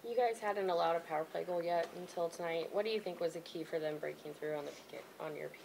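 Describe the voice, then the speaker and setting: A woman's voice: on the low side, quick pace, vocal fry, noisy background. A woman reporter asking a player questions in a locker room scrum. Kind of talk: press question.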